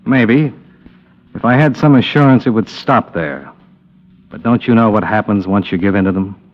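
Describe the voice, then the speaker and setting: An actor playing a tough, principled American investigator in a vintage radio drama, speaking in a terse, firm, wry manner. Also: male voice, fairly low pitch, moderate pace, close to an everyday voice. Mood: firm, persuasive. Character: tough, principled male insurance investigator, hard-boiled and resolute. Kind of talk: 1940s-50s radio drama acting and narration. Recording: dull old radio recording, music behind.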